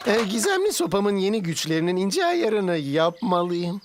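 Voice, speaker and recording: A woman's voice: mid-range voice, normal speed, close to an everyday voice. Lady doing a goofy cartoon rodent, super dramatic, voice bouncing around, music behind. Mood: excitable. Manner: excitable, dramatic, silly. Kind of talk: Turkish cartoon dub.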